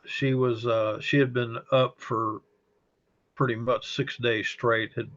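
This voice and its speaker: A middle-aged man with a slight Texas accent, a fire service chaplain recounting memories in an interview, unhurried and halting with frequent pauses and fillers. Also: medium pitch, flat delivery, a bit bright. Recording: dull lo-fi audio.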